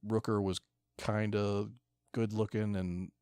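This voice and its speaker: A man's voice: on the low side, level tone, slow, a little mellow, clear, resonant tone, dry recording, clean studio sound. A man talking casually as a podcast co-host, informal and given to swearing. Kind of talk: casual chat.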